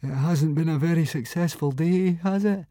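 A man's voice: mid-range voice, lively intonation, normal speed, darkish tone, no room sound, clean studio sound, pitched above the performer's own voice, natural voice. A man voicing a timid, miserable young Viking boy who stammers and mumbles apologies.

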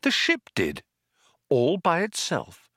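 A man's voice: a little high, huge pitch swings, slow, a bit bright, breathy edge, no echo, studio quality, voice pushed up, heavily acted. Man voicing a patient, lilting, compassionate woman. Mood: matter-of-fact. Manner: lilting, soothing.

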